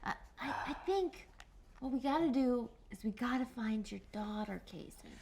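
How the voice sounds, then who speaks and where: A woman's voice: a little high, lively intonation, moderate pace, vocal fry, darkish tone, breathy edge. A woman talking casually in character as a player in a tabletop game.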